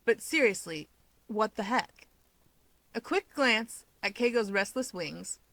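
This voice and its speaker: A woman's voice: medium pitch, lively intonation, slow, fairly bright tone, a little hiss or hum. A woman reading scripted fiction aloud as an audiobook narrator.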